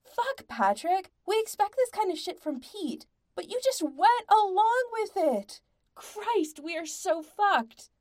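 A woman's voice: mid-range voice, expressive, a little slow, warm tone, lightly acted. A woman voicing a furious, exasperated young male band member who snarls and swears emphatically in a story.

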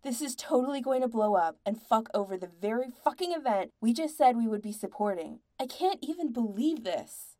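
A woman's voice: mid-range voice, animated, a little slow, mellow, close to an everyday voice. A woman voicing a furious, exasperated young male band member who snarls and swears emphatically in a story.

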